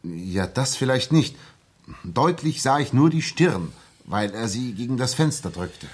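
A man's voice: medium pitch, big pitch swings, a little slow, slightly rough. A male actor playing an earnest, determined ex-soldier in a 1960s German radio drama, well-spoken and emphatic. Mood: insistent, troubled.

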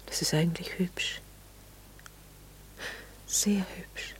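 An actress playing a wistful, curious, well-bred married lady in a radio play, with a husky, breathy voice and a hesitant, probing manner. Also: lowish voice, fairly even intonation, takes it slowly, in a whisper, heavily acted. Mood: soft, wistful. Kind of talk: intimate radio play dialogue.

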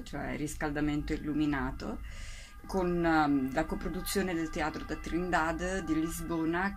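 A woman tells a story informally and expressively as an interview guest, often breaking off and restarting her sentences. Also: sits low.

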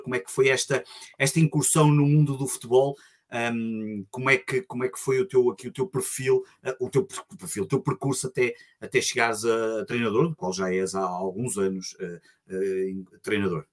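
A man with a European Portuguese accent co-hosting a podcast, chatting casually and wordily, often repeating and rephrasing himself. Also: mid-range voice. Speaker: podcast co-host. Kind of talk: casual conversational commentary.

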